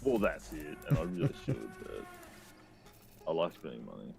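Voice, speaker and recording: A man's voice: very deep voice, wildly swooping pitch, normal speed, creaky, a little mellow, faint music behind. A man nearing thirty chatting casually about games, loose and profane, with many filler words. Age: 20s. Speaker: co-streamer. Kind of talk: casual gaming chat.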